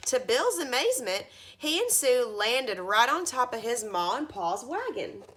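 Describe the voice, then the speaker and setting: A woman in her thirties reading a children's storybook aloud like a teacher, with expressive emphasis at the starts of phrases. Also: on the high side, unhurried. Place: carpeted living room at home, indoors.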